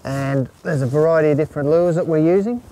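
A man's voice: a little high, fast, mellow, low-quality recording. Easygoing Australian man explaining things plainly. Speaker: fishing instructor. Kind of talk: informal instructional talk. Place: outdoors on an open lake shore.

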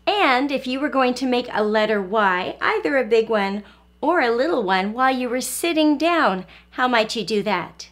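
A woman's voice: on the high side, lively intonation, moderate pace. A woman in her forties teaching and reading to young children, encouraging and carefully enunciated. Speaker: early-learning teacher, host. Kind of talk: children's phonics lesson and read-aloud. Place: small furnished room at home, indoors.

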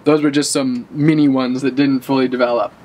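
A man's voice: mid-range voice, level tone, a little fast, a little mellow. A man in his thirties giving an enthusiastic, casual walk-through of his garden in a vlog, talking as he moves and eats. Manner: enthusiastic, gestures while talking and eating. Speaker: vlogger showing his garden. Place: outdoor urban garden, then indoors by a bookshelf. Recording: outdoor traffic noise in background.

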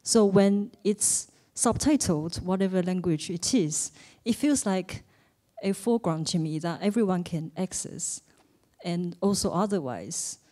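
Woman in a cinema audience, polite reflective question, slow mellow voice, roving mic. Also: on the low side, lively intonation. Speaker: audience member asking a question. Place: cinema auditorium. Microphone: roving handheld mic.

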